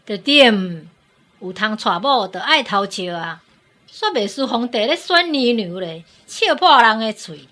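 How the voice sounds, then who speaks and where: A woman playing a stubborn, mocking village busybody in a radio drama, arguing with drawn-out emphasis in a Taiwanese accent. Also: fairly high pitch, very animated, fairly bright tone, slight background noise.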